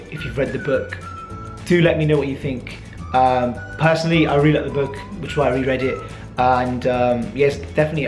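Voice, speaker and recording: A man's voice: mid-range voice, echoey room. A young adult man with a British accent, chatting casually and informally as he answers viewer questions in a vlog. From born and raised in the UK, teenage years in Dubai. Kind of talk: casual Q&A chat to camera.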